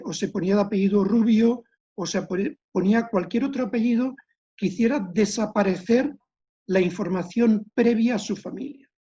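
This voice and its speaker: A woman giving an explanatory history lecture as a webinar guest, speaking in a measured way with pauses and restarted words. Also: medium pitch, mellow, dull audio. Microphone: computer mic on a video call.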